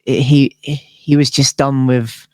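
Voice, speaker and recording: A man's voice: mid-range voice, little pitch movement, takes it slowly, dark tone, dry recording, studio quality. A man with a British accent in a casual interview, telling stories as a musician in a rambling, informal way. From United Kingdom.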